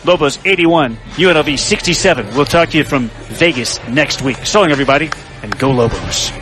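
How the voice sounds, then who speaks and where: A man signing off a basketball radio broadcast, excited and proud. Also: mid-range voice, fairly quick, soft background music. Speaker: play-by-play broadcaster. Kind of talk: postgame radio sign-off.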